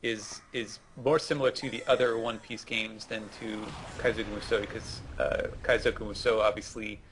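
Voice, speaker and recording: A man co-hosting casual gameplay commentary, explaining things in a measured way. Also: fairly low pitch, little pitch movement, fairly bright tone, a little hiss or hum, rough recording. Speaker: co-host.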